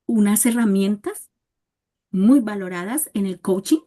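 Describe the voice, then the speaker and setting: A woman coach speaks on a panel discussion. Her voice is mellow and dark-toned, and she talks in an earnest, reflective way. She sounds like she is sharing a personal experience with people she knows. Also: medium pitch, measured pace. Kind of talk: panel conversation.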